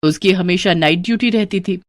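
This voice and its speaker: A woman narrating a scripted cartoon storybook in an expressive storytelling manner. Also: on the low side, moderate pace, background noise.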